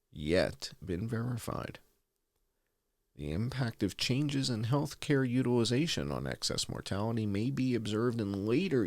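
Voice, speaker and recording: A man hosting, reading a research report aloud in a deliberate, emphatic way. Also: mid-range voice, no room sound. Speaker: host.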